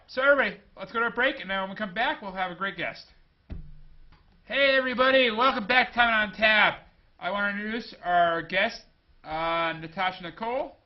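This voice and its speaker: A man's voice: high voice, muffled recording. A young man hosting a casual interview show, rambling loosely and joking between questions. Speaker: host.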